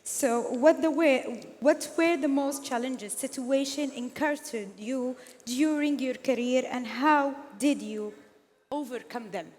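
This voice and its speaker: A woman's voice: sits high, measured pace, darkish tone. A woman moderating a stage talk, reading formal prepared introductions and questions. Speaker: host and moderator. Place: large event hall. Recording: long hall reverb.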